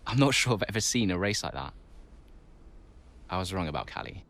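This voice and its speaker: A man playing a reflective, humble racing driver in a game's post-race interview, measured and understated. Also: low-pitched, big pitch swings, creaky, fairly bright tone, dry recording, full character voice.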